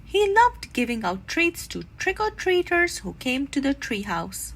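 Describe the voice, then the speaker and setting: A woman narrating a children's storybook aloud in an expressive read-aloud style. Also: high-pitched, unhurried.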